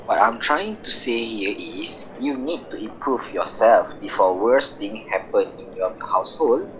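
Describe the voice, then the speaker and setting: A man acts a candid, reasonable best friend in an amateur radio play. His informal delivery swings widely in pitch, and the recording is dull. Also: animated, unhurried. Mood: frank advice. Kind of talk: amateur radio drama acting. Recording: dull, slightly noisy recording.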